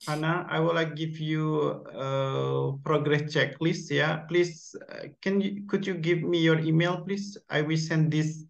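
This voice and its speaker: A man tutoring English on a video call, speaking slowly in simple phrases with small slips. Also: on the high side, fairly even intonation, vocal fry. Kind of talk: casual online English class. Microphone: laptop mic on video call.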